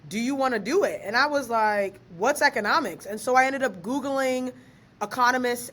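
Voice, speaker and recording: A fairly high-voiced young woman talks with a podcast host. She is lively and slangy and laughs often. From Ghana, raised in Maryland. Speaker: guest, author and researcher. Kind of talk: casual podcast interview.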